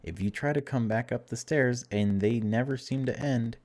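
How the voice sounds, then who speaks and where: A man's voice: mid-range voice, normal speed, darkish tone. A man giving a casual, chatty let's-play, reading game text aloud and adding wry asides. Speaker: video game streamer.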